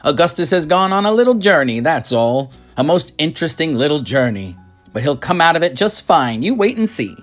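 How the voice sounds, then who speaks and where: A woman's voice: deep voice, sing-song, fairly quick, faint music behind, voice pushed up. A woman voicing an eccentric male chocolate factory owner in an emphatic, theatrical manner. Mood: breezily emphatic.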